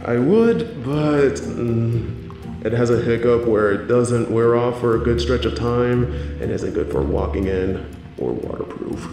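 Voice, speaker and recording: A man playing a playful, sinister supervillain in an audio drama, teasing and gloating with theatrical, drawn-out emphasis. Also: mid-range voice, animated, roomy sound, over music. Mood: proud, playful. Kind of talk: scripted audio roleplay villain monologue.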